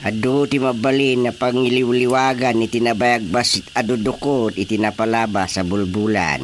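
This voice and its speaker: A man's voice: mid-range voice, fairly even intonation, normal speed, a little hiss or hum, close to an everyday voice. A man with a Filipino accent acting a chatty, friendly fellow in a radio drama. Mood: eager.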